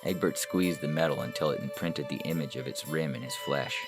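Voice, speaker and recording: Male story narrator, extremely deep pitch, scripted literary reading, clear musical backing. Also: brisk, over music.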